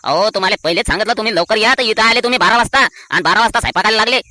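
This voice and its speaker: Man playing an indignant, high-voiced cartoon uncle. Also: racing, sharp tone, no room sound. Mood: angry, scolding. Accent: rural Maharashtrian. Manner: indignant, complaining. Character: touchy, quarrelsome uncle of the bride. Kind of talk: cartoon comedy dialogue.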